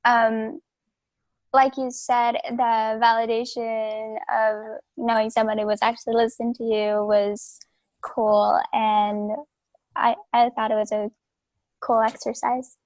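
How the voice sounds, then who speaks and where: A woman student sharing her thoughts in class in a slow, measured way. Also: a little high, level tone, dry recording.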